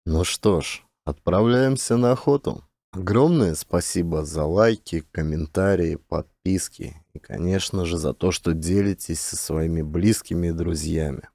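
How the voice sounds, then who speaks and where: Low, mellow male audiobook narrator. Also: a little slow, creaky, dry recording, studio quality.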